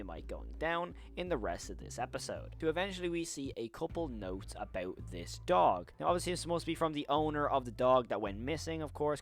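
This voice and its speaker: Man narrating horror video commentary. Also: mid-range voice. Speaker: YouTube horror commentator and host. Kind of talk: video essay commentary, recapping episodes.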